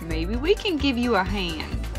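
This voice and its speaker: Woman putting on a high, hearty backwoods bear fellow. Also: big pitch swings, unhurried, music in the background. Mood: hearty, neighborly. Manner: hearty country talk, hollering. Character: friendly folksy backwoods bear man, eager to help.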